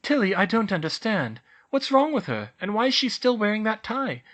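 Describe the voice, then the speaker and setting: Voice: mid-range voice, sing-song, moderate pace, fairly bright tone, pitched above the performer's own voice. A reader voicing Hermione, an earnest, caring young witch. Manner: earnest, concerned.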